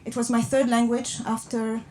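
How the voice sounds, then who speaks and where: Woman doing a talk, foreign accent in english, kinda high voice, hesitant and chatty, train noise behind her. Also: flat delivery, normal speed, darkish tone. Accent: non-native English. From born in Brazil, grew up in Pakistan, Japan and France. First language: Portuguese. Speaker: speaker. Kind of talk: public talk to a live audience. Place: inside a train carriage with passengers. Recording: noisy moving train carriage. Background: clear background noise, train ambience.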